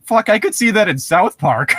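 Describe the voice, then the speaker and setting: A man co-hosting a podcast, chatting casually and reading aloud, swearing freely in a relaxed conversational way. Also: sits high, normal speed, breathy edge, no echo, clean studio sound. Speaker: podcast co-host. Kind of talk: casual banter and creepypasta reading.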